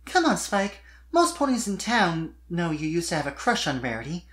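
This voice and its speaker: A performer voicing a kind, perceptive young female unicorn pony in a soft, earnest way for a cartoon fan story. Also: mid-range voice, very animated, normal speed, pitched above the performer's own voice. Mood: gentle, knowing.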